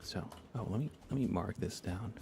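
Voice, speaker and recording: A man with a low voice and a crackly fry murmurs his way through an ASMR game stream. He is gentle and unhurried, often slipping toward a whisper as he rambles, with noticeable ambient noise behind him. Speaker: livestream host. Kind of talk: soft-spoken ASMR game livestream chat.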